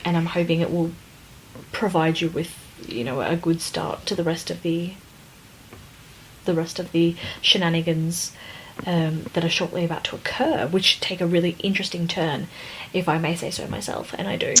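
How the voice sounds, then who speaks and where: A woman's voice: on the low side, steady tone, moderate pace, slight background noise, low-quality recording. A woman co-hosting a casual podcast book discussion, thinking aloud hesitantly and circling back over her phrases. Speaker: podcast co-host.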